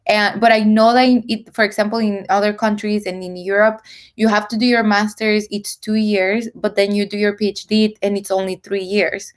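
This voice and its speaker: A woman's voice: mid-range voice, little pitch movement, moderate pace. Chatty young woman from El Salvador. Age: 20s. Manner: chatty, laughs easily, many fillers. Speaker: guest, PhD student. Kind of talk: casual interview answers.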